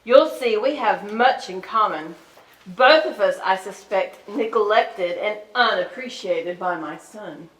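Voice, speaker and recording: A woman's voice: mid-range voice, expressive, slow pace, a bit bright. A woman playing a scheming, imperious old royal grandmother in a staged musical reading. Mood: sly, coaxing. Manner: imperious, threatening. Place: indoor stage at an arts venue. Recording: echoey stage, distant mic.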